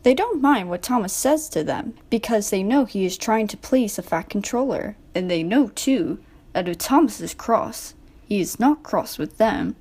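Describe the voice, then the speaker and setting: A woman's voice: mid-range voice, lively intonation, normal speed, darkish tone, breathy edge. A woman narrating a scripted children's storybook.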